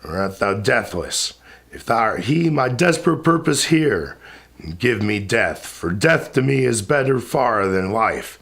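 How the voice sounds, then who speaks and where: A man's voice: fairly low pitch, lively intonation, measured pace, creaky, a bit bright, heavily acted. A man playing a furious, despairing immortal water spirit in an epic poem, declaiming with passion. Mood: anguished defiance. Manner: impassioned, declamatory. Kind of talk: dramatic epic verse recitation.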